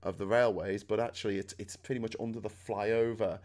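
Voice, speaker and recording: A middle-aged man with a Scouse accent, hosting a casual chat in a loud, excitable way and laughing often. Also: on the low side, brisk. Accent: Liverpool (Scouse). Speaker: host.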